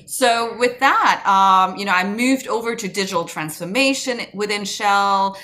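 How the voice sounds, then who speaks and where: A woman's voice: medium pitch, a little slow, bright, forceful tone. A woman in her thirties from Germany, a podcast guest introducing herself in a candid, articulate, conversational way.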